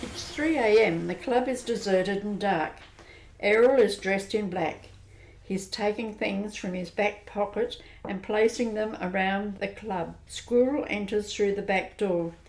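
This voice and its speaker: A woman narrates an amateur radio play, setting each scene with plain, steady directions. Her delivery is even and unfussy. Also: medium pitch, a little slow, soft background music, rough recording.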